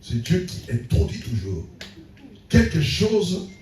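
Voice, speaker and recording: A man's voice: on the high side, wildly swooping pitch, takes it slowly, darkish tone, faint music behind. A man preaching a sermon as pastor, punctuating his points with frequent calls of amen. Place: church hall. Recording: echoey church room, voice from a distance.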